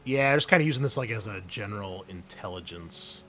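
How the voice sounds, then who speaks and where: A man running a tabletop game as game master, explaining and narrating casually in a halting, conversational way. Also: on the low side, expressive, fairly bright tone, a little hiss or hum.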